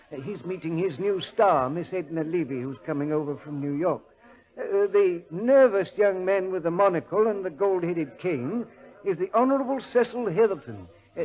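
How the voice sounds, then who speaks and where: An actor playing a deferential, fretful railway station official who explains hesitantly, in 1940s radio drama style. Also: male voice, sits high. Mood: deferential, informative. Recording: dull old radio recording.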